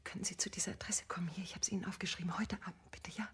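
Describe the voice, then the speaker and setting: Schauspielerin als hastig flüsternde, heimlichtuerische Empfangsdame. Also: female voice, fairly low pitch, steady tone, very fast, a bit bright, natural voice. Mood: urgent, secretive. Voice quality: breathy, whispering. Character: anxious, secretive female receptionist pleading urgently. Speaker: doctor's receptionist. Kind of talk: German radio crime drama acting.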